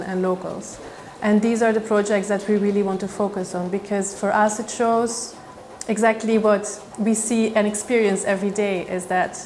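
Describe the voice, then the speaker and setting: A woman from Belgium, an aid worker, speaking in a documentary interview with frequent pauses and fillers. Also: medium pitch, a little mellow, slight background noise.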